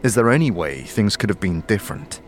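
Low-voiced male fantasy narrator over music. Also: very animated. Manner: measured, dramatic. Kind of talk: scripted second-person fantasy storytelling.

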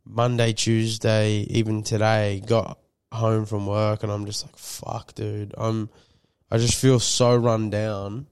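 A man's voice: fairly low pitch, flat delivery, slow pace, a little mellow, smooth, no echo, clean studio sound. A man co-hosting a podcast, a bit nasal from a cold, rambling with lots of fillers and swearing. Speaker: podcast co-host. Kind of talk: casual chat.

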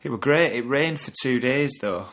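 Casual Lancashire bloke co-hosting a podcast, easygoing mid-pitched voice, quick to laugh. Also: male voice, lively intonation, fairly bright tone. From Burnley, Lancashire, England. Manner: chatty, laughs often, drops "the". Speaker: podcast co-host. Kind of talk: casual chat. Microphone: video call.

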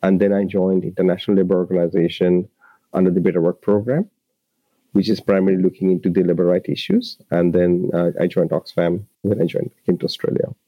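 A man's voice: low-pitched, fairly quick, no echo, lo-fi sound. A man from Bangladesh, speaking as a labour rights advocate and guest, giving earnest, explanatory interview answers with frequent restarts.

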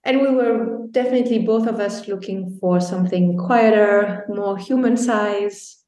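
A woman's voice: medium pitch, a little slow, mellow. A woman from Belgium, a guest on a podcast, telling her story in a chatty way with many ums. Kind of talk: casual interview talk. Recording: roomy echo.

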